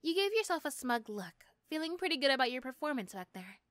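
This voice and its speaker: A woman's voice: sits high, big pitch swings, a little fast, a bit bright, dry recording, clean studio sound. A woman reading scripted second-person narration for an ASMR roleplay.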